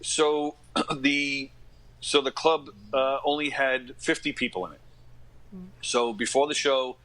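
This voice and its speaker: Bright-voiced middle-aged New man telling stories. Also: mid-range voice, takes it slowly, poor audio. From New York area, USA. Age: late 40s to 50s. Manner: animated retelling with acted-out quotes and frequent throat-clearing. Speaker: podcast guest, actor and stand-up comic. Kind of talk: casual anecdotal storytelling.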